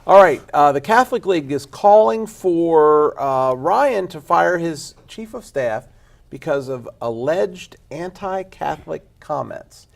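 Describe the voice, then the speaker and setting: A man hosting a local TV panel discussion, moving briskly between topics and panelists. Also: on the high side, animated, vocal fry. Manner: brisk, chatty moderator. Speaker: panel show host.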